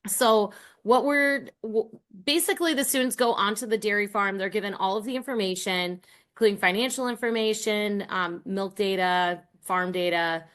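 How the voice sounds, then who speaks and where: Female American academic guest, middle-aged, average pitch, informal explanatory talk. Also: moderate pace, fairly bright tone. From Rhode Island and Massachusetts, United States. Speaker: guest, college professor and team coach. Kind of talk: conversational explanation.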